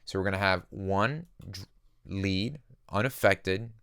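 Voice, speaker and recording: A man narrating a casual music software tutorial, explaining each step in simple terms as the host. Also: low voice, takes it slowly, vocal fry, clear, resonant tone, no echo, clean studio sound. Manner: explanatory, uses simple analogies.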